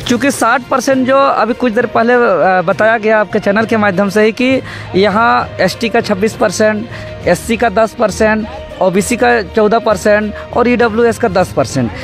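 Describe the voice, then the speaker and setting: Very high-pitched, emphatic man. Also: normal speed, faint music behind. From Jharkhand, India. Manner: emphatic, methodical, repeats phrases for rhetorical effect. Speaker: activist being interviewed. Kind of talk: political interview, speech-like argument.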